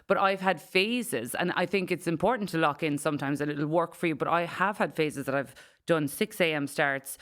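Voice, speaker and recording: A woman's voice: low-pitched, normal speed, hardly any pauses, fairly bright tone. A woman in her forties with an Irish accent, talking frankly and casually as a podcast guest. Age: 40s. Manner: chatty, frank, plain-spoken. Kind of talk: casual chat.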